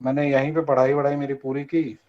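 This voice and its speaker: A man's voice: medium pitch, little pitch movement, a little fast. An older man from Rajasthan giving students an earnest motivational talk and asking them to answer back. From Rajasthan, India. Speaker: guest speaker at a college workshop. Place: online video meeting. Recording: dull lo-fi online call audio.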